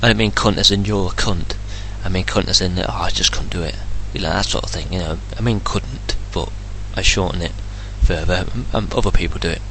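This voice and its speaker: A man's voice: deep voice, a little fast, hardly any pauses, pushed and bright, slight background noise, rough recording. An English man ranting indignantly and bluntly in a solo vlog, swearing freely. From England. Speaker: vlogger.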